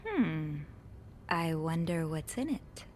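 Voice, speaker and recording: Earnest, curious young maid. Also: female voice, deep voice, very animated, slow pace, darkish tone, a little hiss or hum, full character voice. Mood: curious, hesitant. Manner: earnest, thoughtful, polite. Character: earnest, curious young hotel maid sleuthing in 1950s mystery game. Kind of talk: conversational video game acting.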